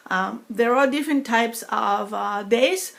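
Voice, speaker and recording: Halting woman with a foreign-sounding accent. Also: on the high side, vocal fry, fairly bright tone. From New York. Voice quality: foreign-sounding accent from a speech disorder after a stroke. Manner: slow halting speech, many fillers, dropped in-between words. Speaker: vlogger sharing her experience. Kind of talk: personal talk to camera.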